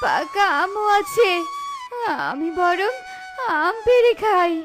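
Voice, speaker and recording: A woman's voice: extremely high pitch, lively intonation, noisy background, heavily acted. A woman voicing a spoiled, whiny young female jackal in a children's cartoon, with dramatic, demanding wails. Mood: hungry, tearful. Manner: dramatic wailing, drawn-out demanding delivery.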